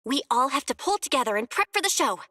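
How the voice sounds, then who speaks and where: A woman's voice: high voice, fast talker, heavily acted. A woman voicing a friendly, earnest young female video store manager in an anime-style game, speaking expressively and conversationally. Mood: upbeat, delighted. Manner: expressive, animated, conversational delivery. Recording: background music under the voice.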